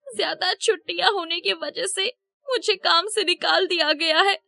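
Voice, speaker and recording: A woman acts out a poor young mother from a cartoon moral tale. Her voice sits very high, shifted up well above an everyday register. She speaks earnestly and emotionally, with a devoted, pleading edge. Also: moderate pace, gravelly, pushed and bright, heavily acted. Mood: distressed, pleading. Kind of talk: Hindi moral story cartoon dialogue.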